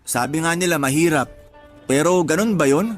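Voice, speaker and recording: Actor as exasperated expectant father, higher-pitched voice. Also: normal speed, soft background music, close to an everyday voice. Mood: exasperated, complaining. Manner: complaining, colloquial storytelling. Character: exasperated man with a craving, pregnant live-in partner. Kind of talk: Tagalog radio drama dialogue.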